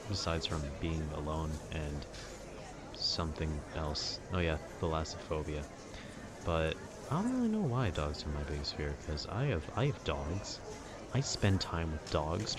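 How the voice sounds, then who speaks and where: A man with a very low voice chats calmly to the listener in a loose, nerdy ramble. He wanders and cuts himself off as he goes. Clear urban background noise sits behind his voice. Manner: nerdy, meandering, self-interrupting. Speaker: solo audio creator rambling to the listener. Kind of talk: calm casual rambling chat about video games. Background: urban ambience.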